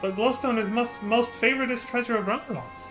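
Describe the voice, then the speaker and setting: A man's voice: extremely high pitch, fairly quick, over music, pitched above the performer's own voice. A man voicing a possessive female goblin treasure hoarder who speaks in broken grammar and refers to herself in the third person. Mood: pleading.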